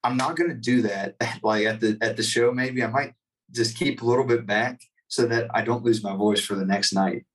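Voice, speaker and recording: A man's voice: medium pitch, fairly even intonation, normal speed, fairly bright tone, distant mic. A man, a touring singer, talking casually in an interview with many fillers and restarts. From United States.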